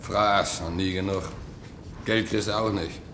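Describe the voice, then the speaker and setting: Radio drama role: weary stokehold hand, very low voice, terse and fatalistic, over clear background ambience noise. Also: male voice, fairly even intonation, a little slow, a bit bright. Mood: gruff, resigned. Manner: terse, colloquial, resigned. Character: gruff, bitter, fatalistic ship's coal trimmer.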